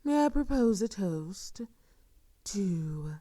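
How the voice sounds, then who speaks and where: A woman playing a sadistic, seductive vampire in an intimate audio roleplay, speaking softly and formally with a silky, teasing menace that often sinks toward a whisper. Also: medium pitch, very animated, takes it slowly, no room sound. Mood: mock-gracious, coaxing. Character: sadistic, seductive female vampire, courtly and predatory. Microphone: close microphone, dry.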